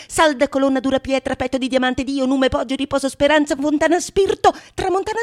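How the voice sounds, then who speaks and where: A woman actor performing a theatrical monologue in a declamatory manner, with dramatic pauses and relish for long lists of words. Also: a little high. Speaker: solo stage actress. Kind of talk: theatrical monologue of a Renaissance comedy.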